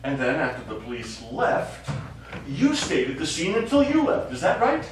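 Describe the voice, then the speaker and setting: A man's voice: on the high side, wildly swooping pitch, normal speed. A male actor as a pompous, ingratiating trial lawyer who stammers his way along. The sound is very echoey and distant, as from a stage, with faint noise behind it. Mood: officious. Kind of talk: live stage play acting. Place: small theatre studio, recorded from the audience.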